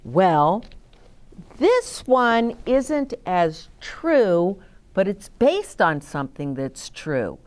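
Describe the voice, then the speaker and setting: A woman's voice: mid-range voice, sing-song, extremely slow, darkish tone. A woman hosting a story time for young children, reading aloud and chatting expressively, explaining things simply and asking the children questions.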